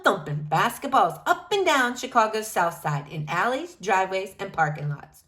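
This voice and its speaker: A woman reading a children's picture book aloud expressively, with emphatic, dramatic phrasing and drawn-out key words. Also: a little high, pushed and bright.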